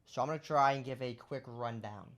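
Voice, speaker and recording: A young man with a voice going hoarse, casually explaining shooter game tactics over gameplay and often checking in with "right?". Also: mid-range voice, fairly quick, fairly bright tone. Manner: explanatory, rhetorical questions, lots of "right?". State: losing his voice. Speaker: gaming content creator. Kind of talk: casual gameplay tips commentary.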